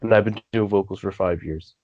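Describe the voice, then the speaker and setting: Teen man, guest vocalist, very deep voice, dull dry remote audio. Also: fairly quick, darkish tone, dry recording. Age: teens. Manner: earnest, rambling. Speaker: guest musician. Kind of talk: casual interview.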